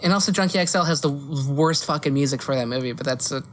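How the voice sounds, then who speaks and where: A woman's voice: low-pitched, quick pace. A woman co-hosting a podcast, chatting casually about pop culture in an opinionated, argumentative way with casual swearing. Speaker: podcast co-host. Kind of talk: casual chat about movies and games.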